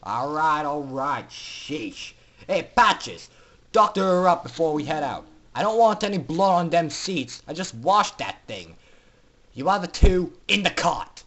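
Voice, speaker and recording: A man voicing a gruff, callous big pony boss in a storybook reading, with a sneering, slangy drawl. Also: fairly high pitch, very animated, a little slow, a bit bright, exaggerated voice. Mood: bossy, irritated.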